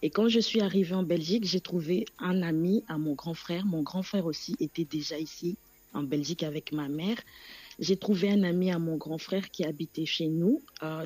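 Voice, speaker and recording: A soft-spoken woman in her thirties, originally from Congo, phones in to a radio program to share her story. She speaks haltingly, pausing often and filling the gaps with small hesitations. Her voice comes across dry and close, with no room around it. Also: on the low side, breathy edge, no room sound. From Democratic Republic of the Congo. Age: 30s. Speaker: caller. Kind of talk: personal testimony on a radio call-in.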